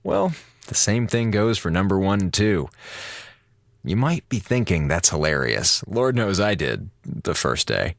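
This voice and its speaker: A man's voice: sits low, very animated, measured pace, creaky, dry recording, studio quality, full character voice. An American man playing a sarcastic, grumbling space station communications officer, flippant and exasperated, delivering a radio drama monologue. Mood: mock grave. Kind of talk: audio drama monologue, mock radio address to schoolchildren.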